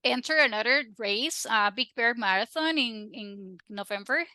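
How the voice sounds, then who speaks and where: Bright-voiced woman, chatty. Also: on the high side, moderate pace, no room sound. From Costa Rica. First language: Spanish. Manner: chatty and animated, frequent restarts and laughs. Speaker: guest. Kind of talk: casual interview chat.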